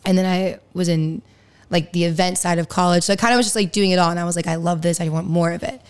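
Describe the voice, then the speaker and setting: Raspy young woman, chatty and smooth. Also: on the low side, steady tone, quick pace. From Pittsburgh area, US. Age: 20s. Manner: chatty, lots of likes and laughs. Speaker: podcast guest. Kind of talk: casual interview chat.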